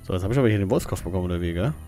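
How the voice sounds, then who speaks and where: A man's voice: deep voice, animated, rapid-fire, dark tone. Male let's play host: casual, playful, swinging intonation, self-directed muttering, faint music underneath. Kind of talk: casual gaming commentary. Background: faint game music.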